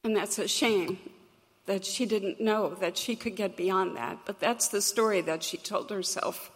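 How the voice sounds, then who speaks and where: A woman with a crackly voice, telling a personal story to an audience in an earnest, reflective, measured way. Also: mid-range voice. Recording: long hall reverb.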